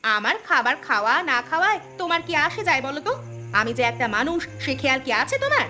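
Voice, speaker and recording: Woman acting a high-voiced nagging village wife. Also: sing-song, fast, bright, forceful tone, exaggerated voice. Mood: sulky. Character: sulky, sharp-tongued village wife, shrewd about money. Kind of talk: cartoon dialogue. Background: music.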